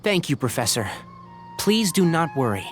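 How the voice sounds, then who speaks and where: A man's voice: fairly high pitch, sing-song, moderate pace, faint music behind. A man voicing a polite, level-headed young male ranger in a video game, courteous and earnest. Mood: reassuring.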